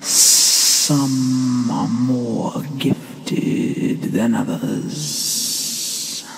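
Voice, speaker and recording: A performer voicing a gentle, ancient dragon teacher in a hushed, breathy, deliberate ASMR fantasy roleplay, calm and solemn with long pauses. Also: medium pitch, soft background music. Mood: reverent, instructive.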